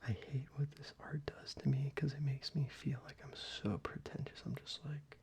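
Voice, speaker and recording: A young man talking softly in a half whisper, casual and rambling, as an ASMR creator. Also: medium pitch, fairly even intonation, moderate pace, husky. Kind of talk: soft-spoken ASMR show-and-tell. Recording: slightly muffled, with faint ambient noise.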